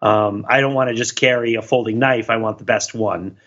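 A man's voice: fairly low pitch, fairly even intonation, brisk, fairly bright tone, muffled recording. A man chatting casually as a podcast host, inquisitive and thinking aloud as he works through questions and analogies. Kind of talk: casual chat.

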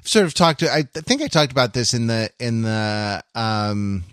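Thoughtful, halting man on a podcast. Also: medium pitch, a bit bright, smooth, no echo, studio quality. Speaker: podcast co-host. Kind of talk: casual discussion of films.

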